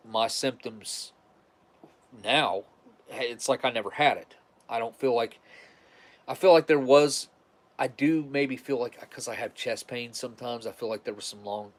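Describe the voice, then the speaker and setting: Man, congested and nasal, loose rambling host chat with lots of restarts. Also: mid-range voice. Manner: rambling, restarts often, conversational. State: stuffed-up nasal voice. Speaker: livestream host. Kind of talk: casual rambling monologue.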